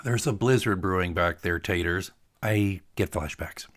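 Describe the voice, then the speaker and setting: A man's voice: low-pitched, a little slow, fairly bright tone. A man playing a grouchy, restless man who grumbles with irritation, in a narrated story with music behind him. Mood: sullen. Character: grouchy, restless man nursing martinis on a boat.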